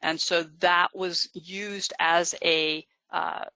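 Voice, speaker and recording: A woman's voice: lowish voice, fairly even intonation, slow, vocal fry, sharp tone, clear, resonant tone, no echo. A woman genealogist giving a live webinar lecture, explaining step by step with frequent fillers. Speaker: genealogist presenter.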